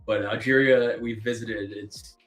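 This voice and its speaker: A man's voice: on the low side, steady tone, measured pace, fairly bright tone. A young man from Canada co-hosting a trading live stream, chatting casually with a lot of fillers and frequent laughs. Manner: chatty, laughs often, filler-heavy rambling. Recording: roomy sound with faint music.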